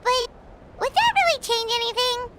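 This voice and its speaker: A woman voicing a tiny, eager, excitable fairy companion girl in a video game, talking in an exclamatory way. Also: extremely high pitch, moderate pace, noisy background. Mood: eager, admiring. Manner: refers to self in third person, exclamatory.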